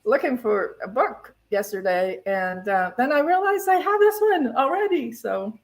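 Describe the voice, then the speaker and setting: A woman's voice: on the high side, lively intonation, a little slow. A woman minister hosting a spiritual service with casual announcements and chatty, giggly asides.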